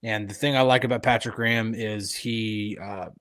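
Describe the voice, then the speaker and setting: A man's voice: fairly low pitch, fairly even intonation, moderate pace, fairly bright tone. Easygoing male podcast co-host. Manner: agreeable, easygoing, analytical. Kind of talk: live podcast chat and football analysis.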